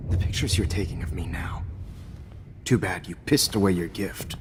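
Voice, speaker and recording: An actor in a video game playing a smug, menacing photography teacher who talks down to his captive, often dropping into a hushed whisper. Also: deep voice, moderate pace, natural voice. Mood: softly menacing. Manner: condescending, drawling, slipping into hushed whispers. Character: smug, menacing male photography teacher and kidnapper, coldly controlling. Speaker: villain. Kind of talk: cinematic video game acting. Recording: hall-like reverb, clear background noise.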